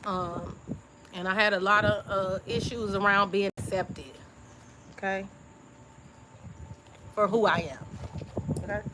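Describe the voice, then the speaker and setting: A woman's voice: medium pitch, slow, long pauses, background noise. A woman YouTube creator talking through old journal entries in a casual live-stream chat, confiding and reflective, often checking in with her listeners with "okay?". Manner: relaxed informal speech.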